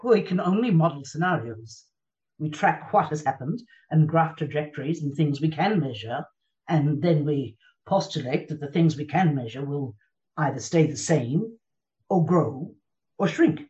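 A woman playing a guarded, troubled agency chief held captive, speaking carefully and with measured hesitation in a radio drama. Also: sits low, lively intonation, mellow, dull audio. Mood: guarded, defensive. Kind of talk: read radio drama dialogue.

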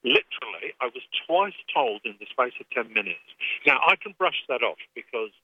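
A man with an English accent, speaking as an activist guest in a radio phone interview, earnest and measured with frequent restarts. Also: mid-range voice, big pitch swings, breathy edge, no room sound. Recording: tinny phone line.

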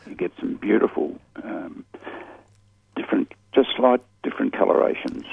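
A man with a croaky voice, chatting about plants on radio with many fillers and restarts. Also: fairly low pitch, moderate pace, no room sound, narrow, tinny audio. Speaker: horticulture expert guest. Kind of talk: casual radio chat.

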